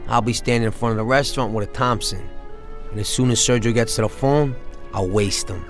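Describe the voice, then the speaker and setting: A man's voice: medium pitch, a little fast, faint music behind, natural voice. An actor playing a brash male gangster who talks bluntly in a video game cutscene. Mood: confident. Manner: blunt, street-talking.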